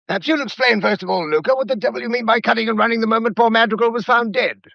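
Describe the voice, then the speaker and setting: An actor playing an irascible, haughty gentleman in a mystery radio drama, brusque and indignant. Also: very high-pitched, rapid-fire, vocal fry, no echo. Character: irascible, haughty gentleman, quick to take offence. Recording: dull, muffled radio drama recording.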